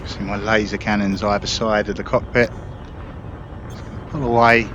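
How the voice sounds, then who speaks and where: A man's voice: lowish voice, flat delivery, moderate pace, muffled recording. Man commentating over noisy gameplay. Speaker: gamer commentating on own gameplay. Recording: clear engine-like game noise behind voice. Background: spaceship game sounds.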